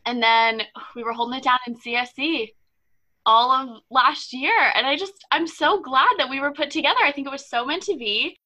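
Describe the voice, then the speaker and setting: Bright, gushing young woman hosting a podcast. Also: high voice, moderate pace. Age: 20s. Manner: gushing, enthusiastic. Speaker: podcast host. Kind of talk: casual podcast chat.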